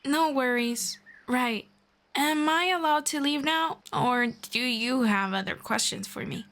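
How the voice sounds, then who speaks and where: A woman playing a friendly, calm forest elf in an ASMR fantasy roleplay, speaking in a measured, playful, gently sarcastic way. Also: sits high. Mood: amused, sarcastic. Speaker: roleplay voice performer.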